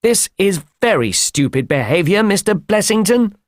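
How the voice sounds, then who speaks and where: A man's voice: mid-range voice, very animated, a little slow, no echo, studio quality. A man voicing an earnest male doctor in an audiobook, speaking formally and with emphasis. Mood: angry. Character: earnest male doctor recounting a troubling case. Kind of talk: audiobook character voice.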